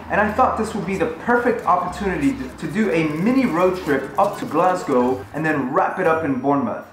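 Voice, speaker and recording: A cheerful man in his thirties tells the story of a road trip in a relaxed, chatty way, with his voice sitting a little higher than most men's. The sound is roomy and echoey, with soft music playing underneath him. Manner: upbeat and conversational. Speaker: travel vlogger. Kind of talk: casual travel narration. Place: living room at home, indoors.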